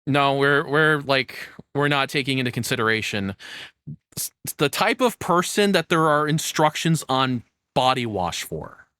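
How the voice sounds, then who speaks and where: A man's voice: medium pitch, expressive, a little slow, pushed and bright, clear, resonant tone, dry recording, studio quality. A man giving casual, off-the-cuff reaction commentary in a rambling, conversational, sardonic way. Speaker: reaction video host.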